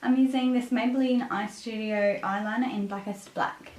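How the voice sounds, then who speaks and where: A woman with a raspy voice, a bit sick with a cough, presenting a makeup tutorial as a beauty vlogger. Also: a little high, steady tone, normal speed, music in the background. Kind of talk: scripted makeup tutorial narration. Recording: roomy echo, distant microphone.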